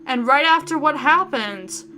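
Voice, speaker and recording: A woman voicing an anxious, caring young woman narrating a visual novel in the first person with expressive introspection. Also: high voice, measured pace, exaggerated voice. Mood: flustered. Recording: guitar music behind. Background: plucked guitar music.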